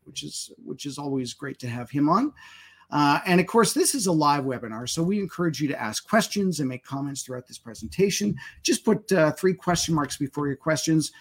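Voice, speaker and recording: A man hosting a live webinar, introducing guests in a loose, friendly way with fillers. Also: fairly high pitch. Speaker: webinar host.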